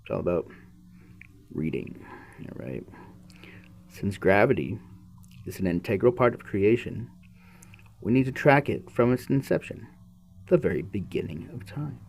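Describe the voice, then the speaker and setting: A man's voice: lowish voice, animated, unhurried, creaky, long pauses, a little mellow. A man hosting a podcast, reading a book aloud and breaking off into casual, musing commentary. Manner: musing, informal asides to the listener.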